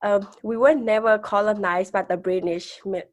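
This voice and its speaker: A woman in her twenties, raised in a refugee camp in Thailand, speaking with a Karenni accent as a podcast guest. She talks hesitantly, restarting her sentences and laughing softly between points. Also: medium pitch, steady tone, moderate pace. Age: 20s. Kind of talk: conversational interview.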